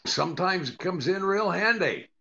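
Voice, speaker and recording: Older male actor as a put-upon radio sitcom husband, deadpan, sharp gravelly delivery, slightly dull recording. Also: high voice, normal speed, exaggerated voice. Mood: dry, patient. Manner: deadpan, hesitant with uhs. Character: dry, put-upon comedian husband doing income tax. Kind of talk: 1940s radio comedy acting.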